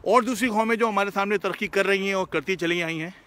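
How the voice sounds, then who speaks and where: A man's voice: high-pitched, fast, sharp tone. A man with a Pakistani Urdu accent hosting a live question session, talking earnestly and casually while out on the road, mixing in English words. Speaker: host answering viewer questions. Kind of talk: casual live-stream talk. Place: outdoors, driving past shops.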